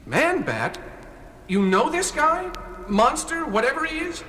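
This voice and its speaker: An actor playing an excitable, earnest teenage boy sidekick in a superhero audio drama. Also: very high voice, a little slow, exaggerated voice. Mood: puzzled. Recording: loud dramatic music underneath.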